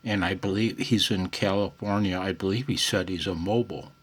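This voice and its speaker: A man's voice: deep voice, fairly even intonation, brisk, slightly rough. An American man chatting casually and in a friendly way as an amateur radio operator, recorded on a phone. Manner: friendly, chuckling, says "man" often. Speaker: amateur radio operator filming for his channel. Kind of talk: casual ham radio conversation. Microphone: handheld phone.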